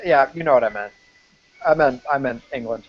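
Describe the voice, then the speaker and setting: A man's voice: medium pitch, brisk. A man in a casual live-stream chat about films who swears freely and talks in fillers like "like". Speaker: film site writer hosting a live-stream chat. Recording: muffled online call audio.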